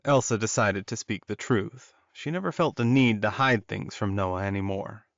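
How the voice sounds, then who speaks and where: A man's voice: fairly low pitch, normal speed, gravelly, a bit bright, dry recording, muffled recording. Dry-sounding male audiobook narrator. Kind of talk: scripted fiction narration.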